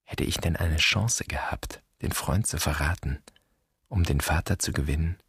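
A wounded son in a radio drama, confronting an absent father. His voice is extremely deep and very breathy-rough, recorded dry and close. The phrases are broken, terse and poetic. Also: normal speed, fairly bright tone, no room sound, exaggerated voice. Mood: bitter, wounded. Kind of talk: stylized German radio drama dialogue.

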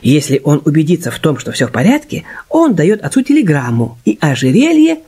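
An actor playing a polite, shrewd Chinese detective in a radio play, speaking in formal, deliberate phrases. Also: medium pitch, huge pitch swings, background noise. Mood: calm, scheming. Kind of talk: radio play acting with narration.

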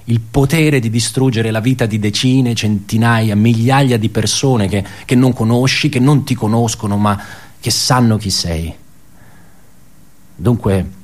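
An actor playing an embittered, pedantic male office worker in a radio theatre monologue, halting and repeating his words as he puts rhetorical questions. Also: medium pitch, a little mellow, lightly acted. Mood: brooding, bitter.

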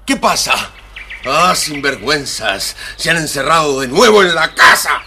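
Blustering big bad wolf, wildly swooping voice. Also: male voice, a little high, very animated, measured pace. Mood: indignant. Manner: theatrical, blustering, muttering to himself. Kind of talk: children's story record acting. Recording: noisy old children's story record.

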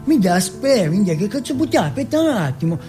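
A man voicing an excitable, streetwise teenage boy in a stage monologue, slangy, insistent and full of swearing. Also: high-pitched, fast, soft background music, voice pushed up. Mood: excited, urgent. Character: excitable, foul-mouthed streetwise teenage boy from housing projects.

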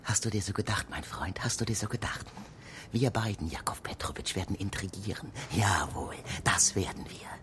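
An actor playing a clerk's sly, insolent double in a radio play, insinuating and falsely friendly, often close to a whisper. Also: male voice, on the low side, moderate pace, fairly bright tone, natural voice. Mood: conspiratorial whisper. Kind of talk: German radio play acting.

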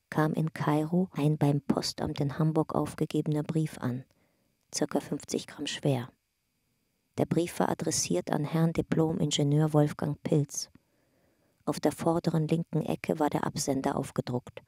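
Matter of fact documentary narrator for a radio play, even pitch, very dry sound, precise. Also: female voice, husky, no room sound, exaggerated voice. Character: detached narrator reading photo captions and intelligence files. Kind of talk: documentary-style radio drama narration, reading records.

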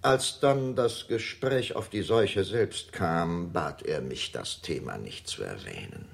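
An actor playing a pompous, fawning high churchman in a radio play, effusive and unctuous as he savours his words. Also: animated, takes it slowly, vocal fry, full character voice. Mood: self-important.

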